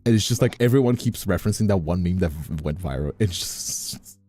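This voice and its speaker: Man, low mellow voice, very lively intonation, casual stream chat, emphatic and repetitive delivery. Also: brisk, soft background music. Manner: animated, emphatic, repeats himself. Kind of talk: casual conversational chat on a livestream.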